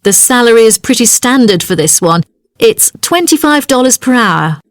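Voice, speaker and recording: Woman playing a polite, higher-voiced job centre agent. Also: expressive, normal speed, no room sound, natural voice. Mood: polite, helpful. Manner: courteous, businesslike. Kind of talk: scripted language-test dialogue.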